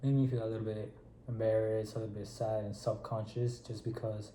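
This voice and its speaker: Soft-spoken young man with a Spanish accent. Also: lowish voice, flat delivery, a little fast, slight background noise. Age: 20s. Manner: soft-spoken, polite, brief answers. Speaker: client in counseling role play. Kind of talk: role-played therapy session. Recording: roomy echo.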